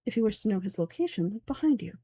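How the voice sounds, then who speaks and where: A woman voicing a cool, sardonic young male scribe from a game, speaking in a measured way. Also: mid-range voice, lively intonation, dark tone, breathy edge, no room sound. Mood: composed. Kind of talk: game dialogue dub.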